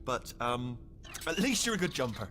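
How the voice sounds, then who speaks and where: A man's voice: mid-range voice, big pitch swings, moderate pace, over music, lightly acted. An actor playing a nervous, rambling male robot sidekick in a video game who chatters reassurances. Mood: coaxing.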